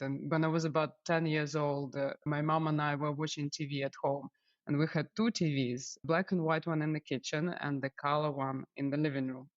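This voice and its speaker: A woman from Ukraine, a stylist guest telling her story in a relaxed interview, with many small fillers. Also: low voice, no room sound, muffled recording. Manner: warm, storytelling, frequent "uh" fillers. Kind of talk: casual interview chat.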